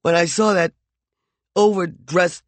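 A man's voice: high voice, fairly even intonation, slow, dry recording, voice pushed up. A male narrator voicing a jealous, volatile man who swings from mockery to heated pleading. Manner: heated, mocking and intense.

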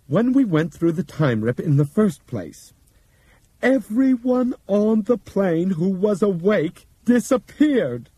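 A man voicing a shaken middle-aged man who speaks slowly and solemnly, like a formal announcement. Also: sits high, sing-song, dark tone, slightly rough, dry recording, voice pushed up, exaggerated voice, rough recording. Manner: slow, measured, solemn announcing.

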